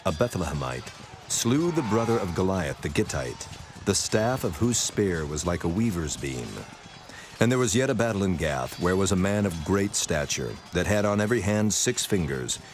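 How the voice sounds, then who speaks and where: Deep-voiced man narrating scripture. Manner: solemn, measured. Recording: prominent music behind the voice.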